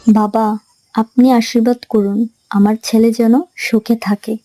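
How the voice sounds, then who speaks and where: Woman acting higher-voiced scheming young wife. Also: level tone, a little slow, dark tone, no echo, rough recording. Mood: pleading. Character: greedy, smug young daughter-in-law and new mother. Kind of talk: Bengali moral folk-tale cartoon dub.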